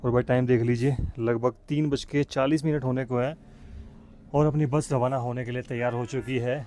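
Young man vlogging over loud road noise. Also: mid-range voice, fairly even intonation, normal speed. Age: young adult. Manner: enthusiastic, conversational, addressing viewers. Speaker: travel vlogger. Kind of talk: casual vlog narration. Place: inside a moving city bus.

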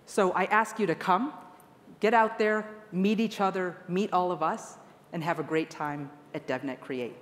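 A woman's voice: fairly low pitch, fairly even intonation, moderate pace. A woman delivering an earnest, emphatic keynote speech to a conference audience. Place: large conference hall stage. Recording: long hall reverb.

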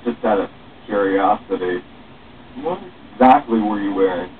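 A man's voice: lowish voice, normal speed, natural voice. Man reading as an exasperated novice beggar, lo-fi. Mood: incredulous. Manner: doubtful, exasperated. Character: reluctant, incredulous young male novice panhandler. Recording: muffled phone-like webcam, distant mic, faint hiss.

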